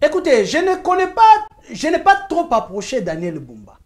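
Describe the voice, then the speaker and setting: A woman with a Congolese French accent pressing her argument on a talk show. Her voice sits high and swings widely in pitch as she insists point by point, slipping into Lingala phrases. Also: very animated, moderate pace. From Kinshasa, DR Congo. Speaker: journalist guest. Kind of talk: heated talk-show debate.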